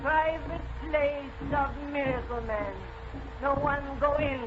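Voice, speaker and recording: Stubborn old female gatekeeper, high slow voice, broken English, vintage radio melodrama. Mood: stern, guarding. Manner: broken English, clipped sentences. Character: stubborn old woman guarding a private place. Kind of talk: 1940s radio acting. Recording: scratchy, muffled old radio broadcast with music.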